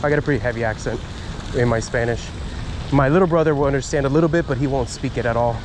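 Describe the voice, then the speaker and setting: A man from Miami talks into his handheld phone in a rambling, halting way, restarting sentences and filling pauses. His pitch sits in the middle range. Street and lobby noise runs clearly behind him. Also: low-quality recording. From Miami, USA. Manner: chatty, halting, many fillers and restarts. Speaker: vlogger filming a first amendment audit. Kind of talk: casual self-filmed vlog, talking to camera and officials. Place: outdoors then in a public building lobby. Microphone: handheld phone camera.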